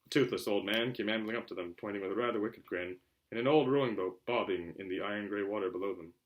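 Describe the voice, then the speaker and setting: A man reading a storybook aloud as a narrator, in an expressive, theatrical style. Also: on the low side, quick pace. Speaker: audiobook reader.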